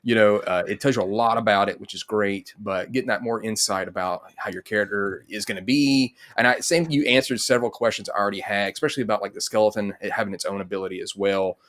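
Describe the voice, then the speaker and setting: A man's voice: lowish voice, fairly even intonation, quick pace, few pauses, a bit bright. A middle-aged man hosting a casual interview in a rambling, conversational way. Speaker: interview host. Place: home room with bookshelf, on video call.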